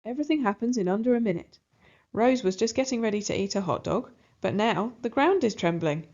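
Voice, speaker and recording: A woman narrating a children's storybook aloud in an expressive style. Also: a little high, brisk, muffled recording.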